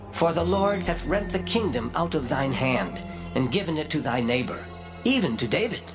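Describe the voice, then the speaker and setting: A man playing the stern spirit of an old prophet in a scripture drama, pronouncing doom gravely and deliberately. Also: a little high. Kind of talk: dramatized scripture acting in archaic English. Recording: music under the voice.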